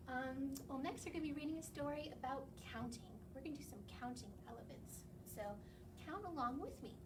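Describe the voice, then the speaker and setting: A woman hosting a children's story time, reading aloud and talking to young listeners with questions and encouragement. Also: high voice. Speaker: library story time host. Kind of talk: children's read-aloud and singalong. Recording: distant mic, clearly noisy background.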